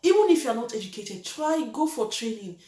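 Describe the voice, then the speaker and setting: A woman's voice: a little high, very animated, a little fast, mellow, roomy sound. A Nigerian woman talking to camera in a vlog, earnest and emphatic, repeating her points. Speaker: vlogger. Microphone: reads from a list below the camera.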